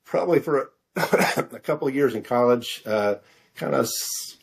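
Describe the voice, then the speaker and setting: A middle-aged American man answering questions in a casual interview, halting and self-deprecating as he searches for his words. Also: medium pitch, expressive, gravelly, slightly rough. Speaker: author interview guest.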